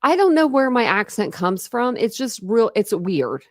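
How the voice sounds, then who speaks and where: A woman's voice: fairly high pitch, very animated, no echo. American woman rambling on a true crime stream, midwest-southern mix accent, dry close sound. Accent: mixed Midwest and Southern American. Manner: chatty, rambling, quick asides with laughs. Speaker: true crime livestream host. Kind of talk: casual livestream chat reading comments.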